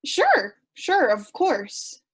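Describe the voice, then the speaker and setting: An actress playing an awkward, flippant, naive young person who talks in casual, hesitant phrases in a staged play reading. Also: female voice, sits high, huge pitch swings, very slow, fairly bright tone, full character voice. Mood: placating.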